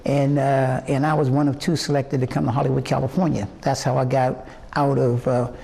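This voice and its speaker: A man in his eighties from West Virginia, a singer telling his life story in an interview in a halting, rambling way full of fillers and restarts. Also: mid-range voice, level tone, gravelly, dark tone. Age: 80s. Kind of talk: reminiscing interview answers.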